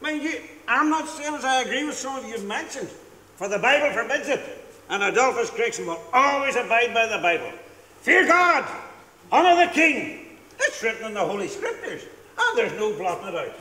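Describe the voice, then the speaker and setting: A man's voice: extremely high pitch, very animated, brisk, sharp tone, exaggerated voice. A man playing a drunk blustering Orangeman. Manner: boastful, preachy, repetitive. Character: drunken blustering middle-aged Orangeman husband. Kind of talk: stage play acting. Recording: echoey hall, far from mic.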